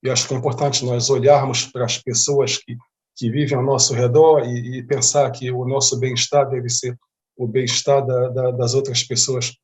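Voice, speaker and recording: A man's voice: medium pitch, flat delivery, normal speed. Male, Brazilian accent, middle register. Interview guest, earnest and conversational, uses repetition for emphasis. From born in Rio de Janeiro, Brazil, feels Bahian. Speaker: interview guest, humanitarian diplomat.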